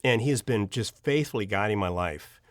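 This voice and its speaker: A man from Pennsylvania speaking as an interview guest, telling personal stories casually in a rambling, expressive way. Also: on the low side, brisk, dry recording, clean studio sound. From Pennsylvania, United States. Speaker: podcast guest, storyteller. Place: studio.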